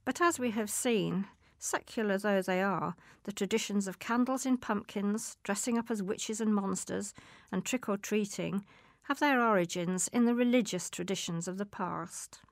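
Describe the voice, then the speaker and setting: A woman presents a scripted, informative piece in a measured, explanatory way. Her voice sits at a middling pitch with noticeable creak, and the recording is close and dry, with very little room around it. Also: dry recording. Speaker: magazine programme presenter.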